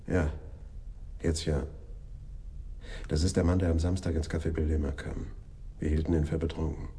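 An actor playing a reflective, matter-of-fact policeman who speaks deliberately and informally in a radio play. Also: rumbling low, little pitch movement, warm tone, slight background noise. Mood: pondering.

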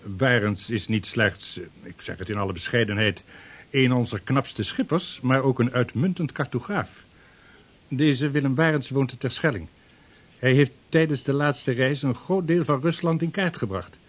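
A man's voice: medium pitch, moderate pace, close to an everyday voice. An actor playing an earnest, modest seasoned voyager making his case in a period radio drama. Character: earnest seasoned voyager and trader, adult man.

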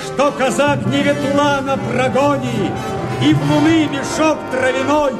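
An actor playing a fiery, defiant rebel Cossack, declaiming verse in a strained, ringing, oratorical voice for a radio drama. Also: male voice, extremely high pitch, fairly even intonation. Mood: triumphant, menacing. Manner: impassioned, oratorical, drawn-out. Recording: crowd clamour and music behind voice. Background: shouting crowd, music.

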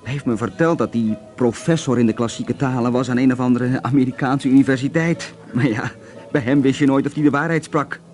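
A gossipy, sceptical barman played by a male actor in a radio drama. He speaks at a middling pitch, confiding and suggestive, pausing before each insinuation. Faint music sits behind him. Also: darkish tone, lightly acted. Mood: gossipy, insinuating. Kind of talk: conversational radio drama acting.

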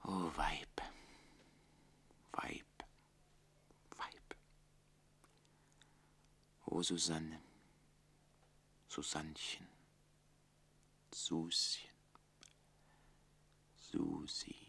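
An actor playing a jealous, witty, defiant servant in a theatrical radio play, with declamatory delivery that moves between hushed and forceful. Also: male voice, rumbling low, level tone, very slow, vocal fry, pauses often, husky, whispered, heavily acted. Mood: anguished, hushed.

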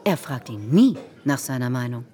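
Scheming society hostess, wildly animated. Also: female voice, rumbling low, moderate pace. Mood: indignant, self-important. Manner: theatrical, confiding, insistent, with repetitions for emphasis. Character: scheming, gossipy society salon hostess, insinuating and self-important. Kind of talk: radio drama acting.

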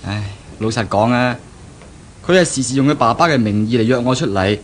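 Actor as earnest young fiancé, noisy vintage radio. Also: male voice, medium pitch, a little fast, natural voice. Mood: exasperated. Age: young adult. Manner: explanatory, earnest, persuasive. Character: young male Japanese graduate, pragmatic, reassuring fiancé. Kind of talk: 1970s Cantonese radio drama acting. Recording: noisy 1970s radio drama recording.

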